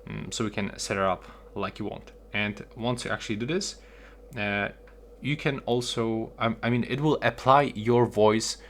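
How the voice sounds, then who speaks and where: A man with a lowish voice hosts a how-to tutorial. He narrates each step casually, in simple instructional phrases. The recording carries some faint background noise. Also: normal speed, fairly bright tone. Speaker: tutorial host.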